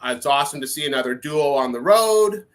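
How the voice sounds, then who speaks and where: A man's voice: a little high, animated, brisk, fairly bright tone. A man hosting a music podcast in a casual, friendly way, rambling a bit with many fillers. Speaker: podcast host. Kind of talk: casual interview chat.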